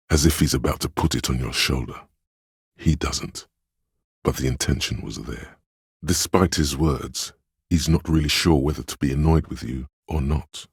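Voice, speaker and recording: An actor voicing a perceptive, compassionate, brooding inner voice in a video game, with measured, hushed, deliberate narration and a gravelly, husky tone. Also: male voice, a bass voice, no room sound, clean studio sound, heavily acted. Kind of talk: scripted second-person game narration.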